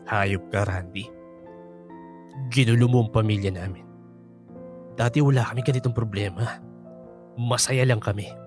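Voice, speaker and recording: A man's voice: lowish voice, fairly even intonation, measured pace, long pauses, music in the background, close to an everyday voice. A man with a Filipino accent playing a bitter, hostile middle-aged father in a radio drama, curt and confrontational. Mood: bitter, accusing.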